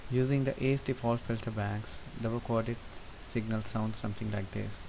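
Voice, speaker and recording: A man giving an unscripted, methodical software tutorial, explaining each step as he goes. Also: lowish voice, normal speed, creaky. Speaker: presenter demonstrating software. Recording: hissy recording with steady background noise. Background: hiss.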